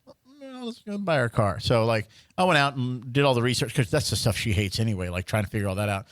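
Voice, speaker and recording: Young man, podcast co-host, casual chat, rambling story, jokey, mid pitch, dry close sound. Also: very animated, fast, fairly bright tone, dry recording. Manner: rambling storyteller, joking, many restarts.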